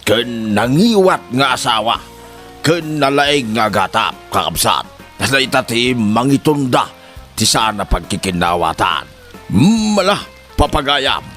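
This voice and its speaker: Guy narrator hosting a drama, slow n animated, faint music behind. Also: medium pitch. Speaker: host and narrator. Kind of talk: dramatic scripted introduction.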